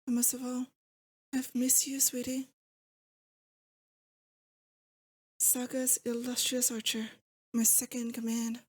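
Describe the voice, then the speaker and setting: A woman playing a possessive, affectionate demon lord in an intimate ASMR roleplay, speaking to her captive sweetheart in a doting, wistful, unhurried way. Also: fairly high pitch, a little mellow, slightly rough. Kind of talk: roleplay ASMR, intimate scripted monologue to the listener.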